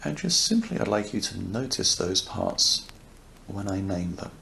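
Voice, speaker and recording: A man leading a guided yoga nidra relaxation, speaking softly and soothingly, unhurried, with long pauses. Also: deep voice, big pitch swings, creaky. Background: crackling fireplace ambience.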